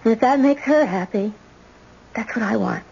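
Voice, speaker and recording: High-voiced sheltered young heiress. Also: female voice, moderate pace, gravelly, darkish tone, husky. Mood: resigned, resolute. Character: sheltered eighteen-year-old heiress, shy but determined granddaughter. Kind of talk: old-time radio drama acting. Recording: muffled old radio recording.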